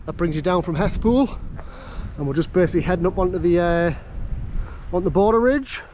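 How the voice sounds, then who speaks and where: A man with a Geordie accent and a notably high voice talks casually to camera on a hill walk. He is outdoors, with clear wind noise buffeting the microphone. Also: a little fast, a little mellow, muffled recording. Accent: Geordie, North East English. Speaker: vlogger on a camping trip. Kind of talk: casual outdoor vlog chat. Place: outdoors on windy open hills.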